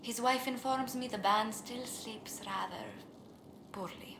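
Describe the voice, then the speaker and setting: A woman playing a courteous, tactful court diplomat in a fantasy game, speaking in a polite, measured, formal way. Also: fairly high pitch. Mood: courteous, composed. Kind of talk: scripted game dialogue. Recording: echoey room, clearly noisy game capture.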